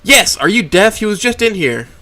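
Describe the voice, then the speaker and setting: A man voicing a furious young dinosaur character who swears and shouts in rage, in a read-aloud story. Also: fairly high pitch, lively intonation, fairly quick, no room sound, voice pushed up. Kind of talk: reading a fan story aloud.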